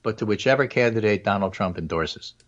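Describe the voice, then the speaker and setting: A man's voice: fairly low pitch, a little fast. A man reading news items aloud and commenting sardonically as a talk show co-host. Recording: dull lo-fi line.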